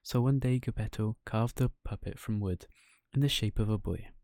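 Mellow male bedtime storyteller. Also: on the low side, normal speed, no echo, clean studio sound. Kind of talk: bedtime story narration for children.

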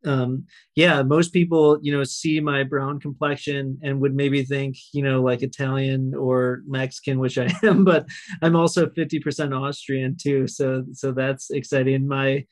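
A man's voice: mid-range voice, little pitch movement, measured pace, no room sound. A male podcast host who welcomes a guest enthusiastically, with many fillers. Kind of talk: casual interview chat.

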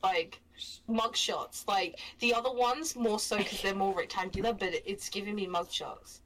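A woman's voice: mid-range voice, moderate pace, fairly bright tone, a little hiss or hum, poor audio. A young woman with a London accent, co-hosting a stream in casual chat, rambling and self-mocking, laughing often. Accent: London English. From England. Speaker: stream co-host.

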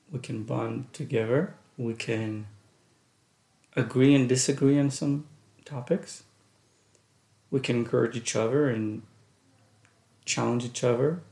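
A man's voice: medium pitch, fairly even intonation, takes it slowly, gravelly, pauses often, darkish tone. A middle-aged man co-hosting a casual family podcast, talking in an earnest, reflective way. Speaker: podcast co-host and father. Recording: echoey room.

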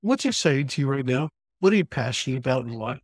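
Husky man hosting a podcast interview. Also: mid-range voice, sing-song, fast talker, no room sound, clean studio sound. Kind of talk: conversational interview questioning.

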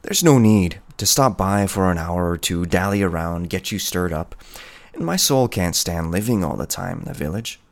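A man voicing a proud, indifferent peasant hunter in a storybook, gruff, dismissive and boastful. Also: low voice, expressive, moderate pace.

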